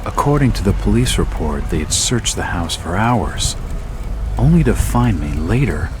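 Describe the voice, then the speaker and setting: Deep-voiced brooding noir detective. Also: male voice, big pitch swings. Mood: grave, haunted. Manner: measured, grave, terse. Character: brooding, world-weary male private detective haunted by amnesia. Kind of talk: noir video game narration. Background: music.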